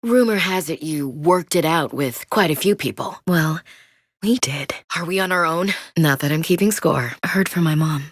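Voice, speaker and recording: A blunt, dry-witted young marine soldier in a video game. Her voice sits low and carries a soldier's plain directness. It is recorded in a dry booth with no room sound. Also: normal speed, dry recording. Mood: steady. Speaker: squad soldier.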